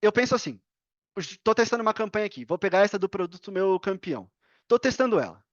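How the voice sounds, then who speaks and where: A Brazilian woman talks casually as a podcast guest, opinionated and emphatic. She repeats her key points and answers her own questions. The sound is close and dry, a little muffled, at an average pitch. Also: very animated, fast talker, no echo. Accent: Brazilian Portuguese. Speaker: podcast guest, affiliate marketer. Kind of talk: casual podcast talk, explaining with examples.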